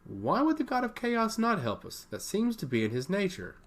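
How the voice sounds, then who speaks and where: A man's voice: high voice, huge pitch swings, moderate pace, pitched above the performer's own voice. A man voicing an eager, bold prince in a fantasy story, earnest and questioning.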